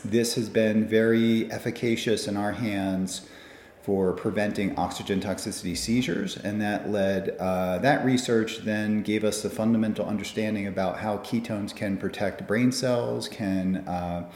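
A man from the United States, answering interview questions about his research in a fluent, measured way. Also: on the low side, steady tone, darkish tone, a little hiss or hum. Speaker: guest scientist. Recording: echoey conference room.

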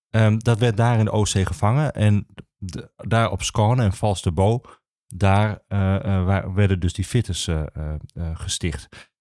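A male expert guest with a Netherlandic Dutch accent speaks in a dark, mellow voice on the low side. He explains conversationally, searching for words in a halting way, in a dry-sounding recording. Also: dry recording. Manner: halting, hesitant phrasing. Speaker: regional archivist, podcast guest.

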